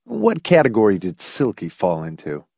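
A man's voice: on the low side, wildly swooping pitch, moderate pace, gravelly, dark tone, no echo, studio quality, heavily acted. A man voicing a dismayed, indignant husband in an audiobook novel. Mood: suspicious, sour.

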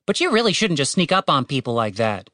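A woman's voice: low-pitched, big pitch swings, fairly quick, fairly bright tone, no echo, clean studio sound. A woman playing a testy young male adventurer. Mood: apologetic, testy. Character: testy, defensive young man, reluctant adventurer.

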